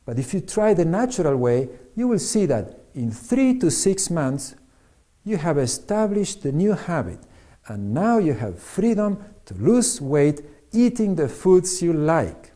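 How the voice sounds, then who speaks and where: A man reads a prepared talk on eating well. His voice sits a little high for a man, but it is mellow and warm in tone. He speaks in a steady, instructive manner. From Argentina. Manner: measured, instructive. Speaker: doctor giving diet advice.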